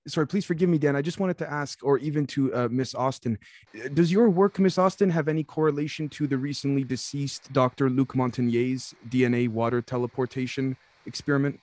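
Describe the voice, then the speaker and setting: A man's voice: mid-range voice, brisk, darkish tone, dry recording. Polite hesitant guy cutting in on a video call with a question, dry sound, kinda far from his mic. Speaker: audience member asking a question.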